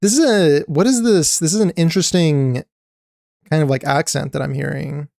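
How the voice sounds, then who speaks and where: Excited male streamer reacting. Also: on the high side, normal speed, vocal fry, no room sound, studio quality, soft background music. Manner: excited, chatty, many restarts. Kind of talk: live reaction commentary.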